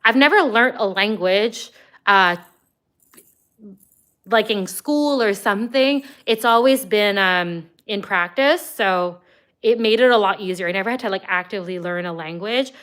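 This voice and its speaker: Woman, livestream host, casual chat, smooth clear voice, chatty with "like" fillers. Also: medium pitch, a little slow, fairly bright tone. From Canada. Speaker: livestream host answering viewer comments.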